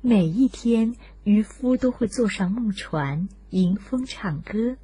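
Husky woman telling children's stories. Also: mid-range voice, animated, takes it slowly, mellow, no room sound. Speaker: children's story narrator and host. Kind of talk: scripted storytelling for children.

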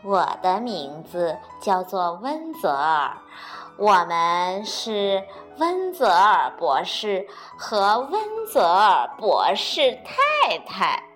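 A woman's voice: mid-range voice, huge pitch swings, a bit bright, faint music behind, full character voice. A woman voicing a courteous, learned male crane who teaches flying, in a children's storybook reading. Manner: courteous, measured, slow.

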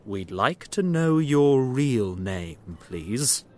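A man voicing a confident, shrewd, courteous detective in an acted storybook, speaking in an assured and deliberate way. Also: mid-range voice, big pitch swings. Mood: knowing, firm.

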